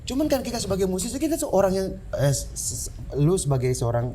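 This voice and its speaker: A man's voice: high-pitched, brisk, slight background noise. A man from Flores, a musician, talking animatedly in a casual podcast chat, slipping in slang and English words. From Flores, Indonesia. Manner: animated, reflective, talks in slang with English bits. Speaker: musician guest.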